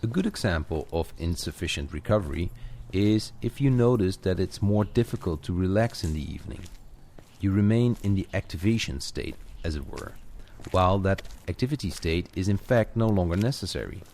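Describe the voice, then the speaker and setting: Low-pitched man, coaching on a walk. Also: lively intonation, normal speed, darkish tone. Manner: informal, addresses the listener directly. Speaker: coach guiding a walking audio lesson. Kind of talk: scripted conversational audio-walk narration. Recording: outdoor ambience noise with clicks. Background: added outdoor walking ambience, birds.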